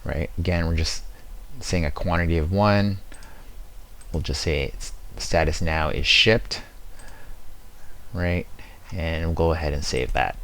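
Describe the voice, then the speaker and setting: Male tutorial presenter, extremely low voice, casual step-by-step demo narration, conversational and explanatory. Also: measured pace, pauses often, fairly bright tone, a little hiss or hum.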